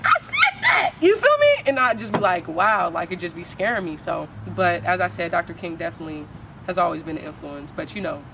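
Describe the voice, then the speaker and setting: A young woman from Oakland with a deep voice, speaking candidly as a poet guest in a casual interview, full of slang and swearing. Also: sing-song, a little fast, heavy background noise. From Oakland, California.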